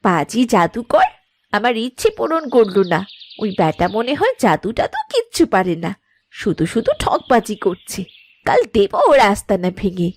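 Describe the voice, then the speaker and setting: A woman's voice: mid-range voice, huge pitch swings, moderate pace. A woman playing a work-shy young farmer's wife in a cartoon, with a whiny, scheming, exaggerated manner. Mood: grumbling. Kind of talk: animated moral-story cartoon dialogue.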